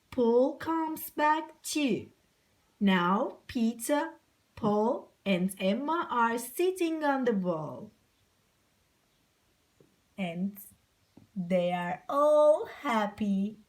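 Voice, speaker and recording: A woman storyteller teaching young children, telling a simple story in short, deliberate sentences and asking questions. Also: a little high, very animated.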